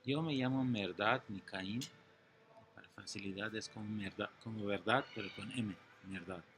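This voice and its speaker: A man's voice: lowish voice, moderate pace, fairly bright tone, a little hiss or hum. Earnest middle-aged man from Iran. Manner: earnest, teacherly, with occasional restarts. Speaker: interviewee. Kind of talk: patient explanatory interview answers.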